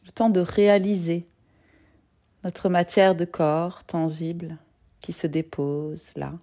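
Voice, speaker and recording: A woman yoga nidra teacher leading a guided meditation in a gentle, soothing, soft-spoken manner with long pauses. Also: fairly low pitch, little pitch movement.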